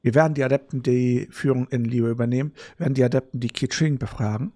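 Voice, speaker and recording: A man's voice: medium pitch, fairly even intonation, fast talker, dark tone, no room sound. A man chatting casually as he hosts a live gaming stream.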